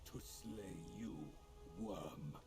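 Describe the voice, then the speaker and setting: An actor playing a weary, proud and defiant dwarf warrior in a video game, with a strained, gravelly voice and solemn, halting delivery. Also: male voice, lowish voice, music in the background. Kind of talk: video game fantasy acting.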